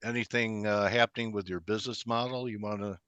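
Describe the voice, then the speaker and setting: Low-voiced man interviewing, dull audio. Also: steady tone, fairly quick, fairly bright tone, clean tone, no echo. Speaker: interviewer, host. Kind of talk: casual interview chat.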